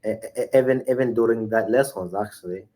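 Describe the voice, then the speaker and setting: A young man whose first languages are Arabic and Sorani Kurdish, speaking English haltingly over a video call with frequent fillers and restarts. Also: lowish voice, mellow. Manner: hesitant, many restarts and fillers. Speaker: English learner. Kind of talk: casual online conversation practice.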